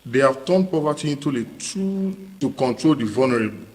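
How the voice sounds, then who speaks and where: A man reads a formal protest statement in a grave, measured way. His voice sits at an average pitch. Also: animated, darkish tone. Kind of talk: public statement.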